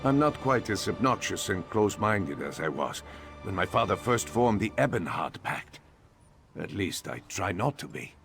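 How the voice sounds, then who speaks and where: An actor playing a reflective, humbled eastern Nord king, speaking in a measured, solemn way in a video game cutscene. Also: fairly low pitch, sing-song, gravelly, music in the background.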